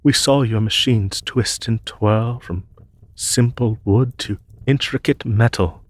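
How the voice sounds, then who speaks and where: A man playing an ancient, courteous masked demon emperor in an audio roleplay, telling his tale in a formal, measured, gentle way. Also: medium pitch, dry recording. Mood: wistful, reverent. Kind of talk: scripted audio roleplay monologue.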